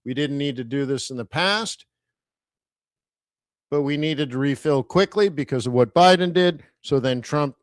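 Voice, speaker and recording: A man's voice: on the high side, moderate pace, no room sound, studio quality. A middle-aged Canadian man hosting a live stream, chatting casually and throwing rhetorical questions at his viewers. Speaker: host of a live trading stream.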